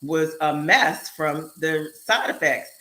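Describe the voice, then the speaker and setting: Host, female, bright tone, animated and emphatic, quick bursts. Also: low-pitched. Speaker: show host and speaker. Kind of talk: casual chat on a live online show.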